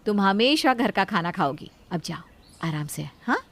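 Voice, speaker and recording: A woman's voice: mid-range voice, animated, moderate pace, no room sound, natural voice. A woman voicing a motherly, anxious elder sister-in-law in an insistent, doting manner for a story. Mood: doting, bossy.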